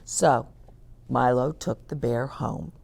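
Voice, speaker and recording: A middle-aged woman reading a picture book aloud to children, expressive and stopping to explain words. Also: rumbling low, slow, vocal fry, husky. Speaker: children's story reader.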